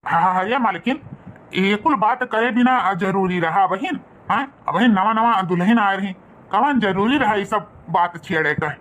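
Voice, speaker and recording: A man voicing a placating village husband and son in an Indian cartoon, with an Awadhi accent and a high, altered voice. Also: moderate pace, fairly bright tone. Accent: Awadhi, northern India. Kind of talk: rural family cartoon dialogue.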